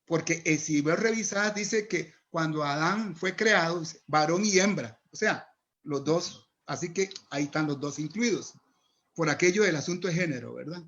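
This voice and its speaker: A man with a notably high voice lays out his ideas point by point like a teacher. He speaks as an interview guest. The audio sounds dull and lo-fi. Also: moderate pace, a bit bright. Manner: didactic, step-by-step teaching. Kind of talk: explaining scripture in conversation. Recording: muffled lo-fi audio.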